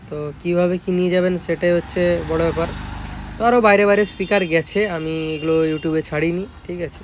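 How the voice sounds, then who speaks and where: Man, West Bengal Bengali accent, monotone, informal shop vlog, checks in with listeners, noisy vehicle ambience. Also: on the high side. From West Bengal, India. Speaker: speaker repair shop owner and presenter. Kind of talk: casual product showcase vlog.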